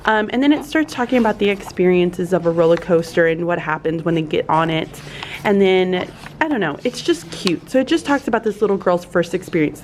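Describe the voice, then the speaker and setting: A young woman teacher, chatting upbeat through her school day in a classroom vlog, with plenty of fillers. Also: medium pitch. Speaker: teacher vlogger.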